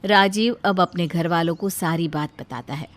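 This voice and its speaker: A woman's voice: on the low side, normal speed. A woman narrator reading a scripted cartoon tale in storytelling style.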